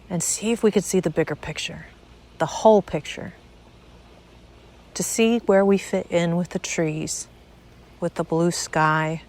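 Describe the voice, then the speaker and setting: A woman narrating a spiritual guided meditation in a measured, deliberate way, pausing between short phrases. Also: on the low side.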